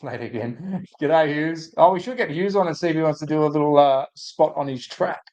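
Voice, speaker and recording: An Australian man with a slightly croaky, under-the-weather voice, hosting a casual livestream and thinking aloud as he chats to viewers. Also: fairly high pitch, fairly quick, a little mellow. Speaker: host of a hobby livestream. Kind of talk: casual live chat to viewers.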